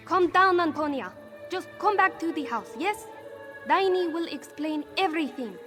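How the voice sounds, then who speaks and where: A woman's voice: very high voice, lively intonation, normal speed, over music. A woman playing a wry, proud cat-folk smuggler with a theatrical, sardonic manner in scripted fantasy game dialogue. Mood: coaxing, anxious. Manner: theatrical, sardonic, speaks of herself in third person.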